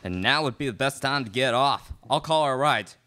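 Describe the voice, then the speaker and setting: A man's voice: mid-range voice, lively intonation, moderate pace, natural voice. A young man playing a cocky, menacing outlaw leader in a live student radio play. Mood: confident. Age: young adult. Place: classroom studio, indoors. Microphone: stand microphone. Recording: noisy live room recording with clicks.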